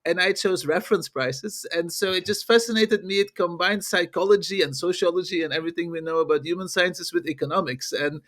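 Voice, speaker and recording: This is a middle-aged man from Belgium, speaking in a notably high voice as he answers questions. He talks in a lively way and chuckles between his points. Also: fairly quick, hardly any pauses. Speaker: marketing professor, guest. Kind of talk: interview answers.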